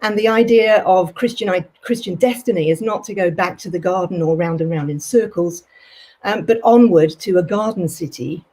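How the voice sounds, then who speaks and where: Husky-voiced woman speaking on a panel. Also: on the low side, normal speed, darkish tone. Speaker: panelist, theology professor and college principal. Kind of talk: conversational panel talk.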